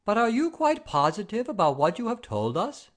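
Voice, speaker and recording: A man's voice: sits high, huge pitch swings, normal speed. An actor playing a proud, blustering baron and father-in-law in a theatrical comedy audio play, pompous and declamatory. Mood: stern, grave. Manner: pompous, oath-swearing, declamatory.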